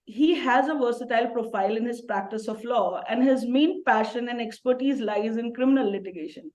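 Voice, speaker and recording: A female interview host with a slightly high voice reads a formal introduction. She stays polite and deferential, addressing her guest as sir. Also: brisk, darkish tone, low-quality recording. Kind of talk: scripted introduction and interview questions.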